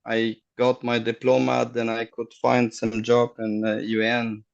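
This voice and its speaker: A middle-aged man, a guest on a video call, telling his story haltingly and searching for words. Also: mid-range voice, monotone, vocal fry, dull audio. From Afghanistan. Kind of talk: casual personal story.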